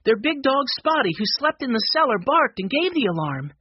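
Narrator acting eager little boy, high voice, very animated, excited storybook delivery, roomy dull recording. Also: a little fast, breathy edge, heavily acted. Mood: urgent reading aloud. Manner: excited, blurting, insistent.

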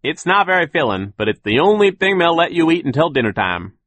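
A man's voice: fairly high pitch, very animated, brisk, fairly bright tone, dry recording, voice pushed up. A man voicing a sunny, optimistic mill worker who explains things in an upbeat way in a storybook. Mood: gently resigned.

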